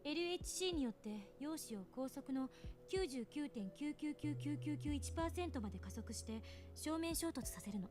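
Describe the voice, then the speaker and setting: A young woman scientist with a high, quick voice. She is sharp and bright-minded but easily flustered, in visual novel game style, with music playing clearly behind her. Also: expressive. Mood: serious explaining. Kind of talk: Japanese visual novel voice acting. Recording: game audio under background music.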